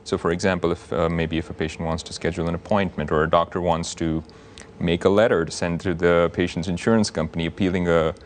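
Low-voiced man explaining calmly. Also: slight background noise. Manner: measured, explanatory. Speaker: cardiologist guest. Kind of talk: TV studio interview. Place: TV news studio.

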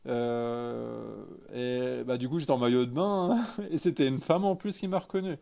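A man's voice: mid-range voice, normal speed, vocal fry, mellow. A man in his thirties with an eastern French accent, chatting casually and candidly on a live stream in informal slang. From Lorraine, France. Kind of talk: casual chat, reading and answering live chat. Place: small indoor photo studio office.

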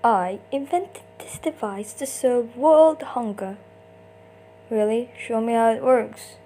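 A woman's voice: fairly high pitch, lively intonation, slow, mellow. A woman doing an amateur comic dub, acting out short character lines theatrically in switching voices.

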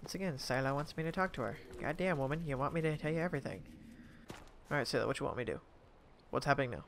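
A man hosting a let's play, chatting and joking in an animated way over his gameplay. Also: on the high side, fast talker, slight background noise. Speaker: gaming channel host.

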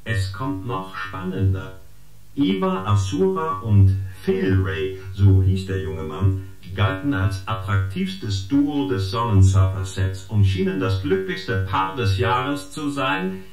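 An actor playing a knowing investigator who reports a scandal in a science fiction radio play. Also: male voice, mid-range voice, sing-song, takes it slowly. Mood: knowing, intrigued. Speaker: actor in an audio drama. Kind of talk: dramatised expository storytelling in a radio play. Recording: echoey, guitar music behind. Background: plucked guitar music.

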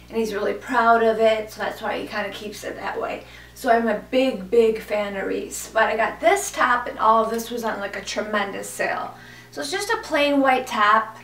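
A hoarse woman in her thirties gushes about her new clothes in a loose, chatty way full of fillers. Her slightly high voice has a gravelly fry. She sounds a step back from the mic, in a small room that echoes. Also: far from the mic. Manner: chatty, gushing, many fillers like "kinda" and "like". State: hoarse from a cold. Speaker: fashion vlogger. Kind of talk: casual clothing haul chat. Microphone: camera mic from a few feet away.

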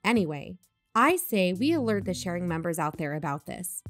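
A woman playing a careful, analytical investigative podcaster in an audio drama, reasoning in a measured way. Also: lowish voice, very animated, soft background music. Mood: cautious. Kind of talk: audio drama dialogue and narration.

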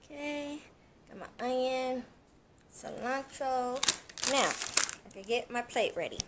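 A woman's voice: sits high, slow. A young woman chatting casually to viewers as she cooks in her home kitchen, filmed by a camera across the room. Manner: chatty, talks to viewers while cooking. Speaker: cooking vlogger. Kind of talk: casual cooking vlog. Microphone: fixed camera across the kitchen. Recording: echoey kitchen, far from mic, noisy.

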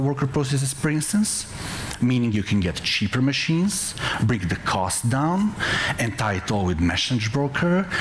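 A middle-aged man from Serbia with a Serbian accent, presenting a tech talk in a dry, tongue-in-cheek way with halting pauses. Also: mid-range voice. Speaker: conference speaker, software developer. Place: indoor conference stage before a seated audience. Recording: clear background noise, live conference hall ambience.

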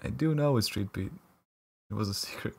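Streamer: man from Latvia, husky voice, playful and jokey with his chat. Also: fairly low pitch, fairly quick. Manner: jokey, laughs often, mock-protesting at chat teasing. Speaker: livestream caster. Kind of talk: casual live-stream chat with viewers.

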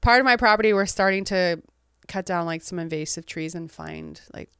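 A woman in her thirties, from England but with an American accent. She is a guest author in a casual interview, soft-spoken, laughing often and trailing off. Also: fairly low pitch, huge pitch swings, a little fast, smooth, no room sound, studio quality.

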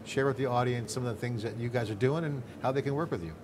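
A middle-aged man hosts a tech interview in a conversational, rambling way, often restarting his sentences. He's on a lapel mic in a studio set. A clear murmur of floor ambience sits behind him. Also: mid-range voice, quick pace, background noise. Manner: loose, rambling, thinks aloud with restarts. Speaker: host.

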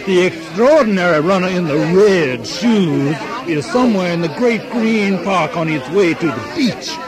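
A businesslike news anchor in a radio drama. His voice is a little high. He relays live coverage over clear crowd noise. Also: expressive, a little slow.

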